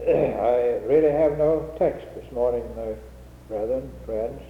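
A man preaching an unscripted sermon with a head cold, speaking in a humble, halting way with frequent restarts. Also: medium pitch, slight background noise. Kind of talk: old-fashioned unscripted sermon. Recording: tinny lo-fi recording in an echoey hall.

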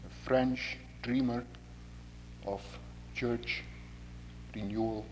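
Slow Indonesian-accented man preaching in a hall. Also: mid-range voice, fairly even intonation, darkish tone. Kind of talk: sermon. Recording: long hall reverb with faint hum.

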